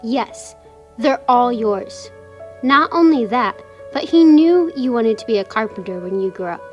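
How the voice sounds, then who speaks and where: Actress as a kindly female lawyer, high voice, gentle explaining manner, puppet-show drama, light music behind. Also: lively intonation, measured pace, lo-fi sound. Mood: gracious. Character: kind, professional businesswoman, a lawyer.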